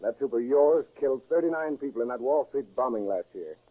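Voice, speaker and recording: A man's voice: medium pitch, expressive, a little fast, natural voice. A man playing a brusque, hard-boiled police detective with an American accent in a 1930s radio drama, curt and commanding. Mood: accusing. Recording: muffled, worn 1930s radio recording.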